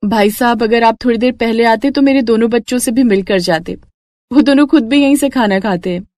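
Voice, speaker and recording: Poor street-vendor mother, gentle and patient, higher voice, cartoon story female performer. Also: fairly quick, no echo, clean studio sound, close to an everyday voice. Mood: warm, polite. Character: gentle, caring poor widowed mother running a food cart.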